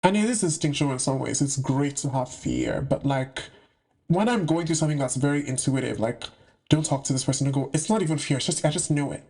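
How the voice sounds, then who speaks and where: A man's voice: mid-range voice, a little fast, a little mellow, breathy edge. A young man from the UK giving a casual, slangy monologue to camera about spiritual topics, with playful asides. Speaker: spiritual content creator.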